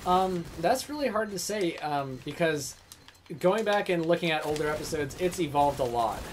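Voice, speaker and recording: A man's voice: on the high side, animated, moderate pace. Young man, gaming stream co-host, hesitant, lots of ums, faint background noise. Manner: hesitant, full of ums, candid. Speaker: livestream co-host and voice actor. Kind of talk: casual chat while playing a game.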